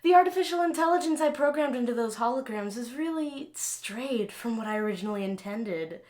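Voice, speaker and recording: A woman's voice: fairly high pitch, lively intonation, a little fast, darkish tone, lightly acted. A woman playing a smug, scheming inventor villain in an audio drama, gloating with mock sympathy. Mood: smooth, scheming.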